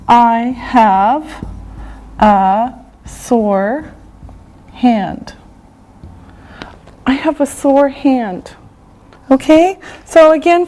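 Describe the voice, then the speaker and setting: Woman teacher drawing out each word real slow, kinda high voice, repeats everything. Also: a little mellow, poor audio. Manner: slow, drawn-out repetition of words. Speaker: English teacher. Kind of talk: slow classroom vocabulary lesson.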